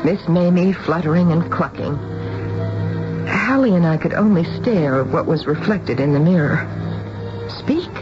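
An actress playing a gentle, anxious middle-aged mother in a radio drama, measured and a little hesitant. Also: lowish voice, expressive. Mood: reflective, troubled. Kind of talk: radio drama acting with first-person narration. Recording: dull radio recording with music behind.

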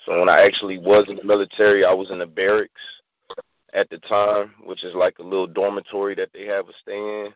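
A man's voice: deep voice, level tone, brisk, no room sound, lo-fi sound. A man in his thirties from Virginia, chatting casually as a guest poet and often repeating himself. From Virginia, United States. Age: 30s. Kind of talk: casual interview chat.

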